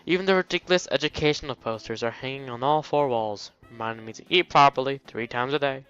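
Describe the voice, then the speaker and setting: A man's voice: mid-range voice, fast, a bit bright, smooth, muffled recording, soft background music. A man hosting a let's play, reading a visual novel aloud and breaking off into jokey exclamations.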